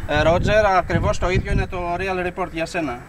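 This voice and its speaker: A man's voice: high-pitched, fairly even intonation. A man with a Greek accent working as an amateur radio operator, giving phonetic call-outs and repeating his words. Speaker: amateur radio operator making a field contact. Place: outdoors on a hill. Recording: noisy outdoor recording with vehicle sounds.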